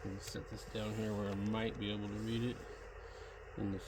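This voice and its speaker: A man's voice: lowish voice, level tone, a little fast. A man giving a casual, plainspoken, rambling hands-on demonstration as a radio hobbyist while setting up gear outdoors. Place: outdoors in a backyard. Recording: noisy outdoor recording, uneven mic distance.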